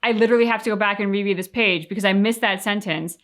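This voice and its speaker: A woman co-hosting a reaction show, talking casually and conversationally with expressive retellings. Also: mid-range voice, fast talker.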